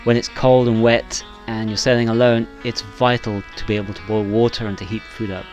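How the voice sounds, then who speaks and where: A man narrates an informal how-to in a fairly low voice. He speaks haltingly, in short phrases, as if feeling for the next words. Guitar music plays clearly behind him. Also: flat delivery, darkish tone, rough recording. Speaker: narrator, solo sailor demonstrating a homemade stove.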